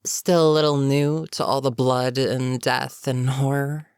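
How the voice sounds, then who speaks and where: A voice actor playing a bitter, menacing goblin captain in a fantasy audio roleplay, speaking to a captive with sardonic, unhurried menace. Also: medium pitch, little pitch movement, darkish tone, clear, resonant tone, dry recording, clean studio sound, natural voice. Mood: wry, probing. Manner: sardonic, unhurried, matter-of-fact threats. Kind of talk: scripted fantasy audio roleplay, addressing the listener.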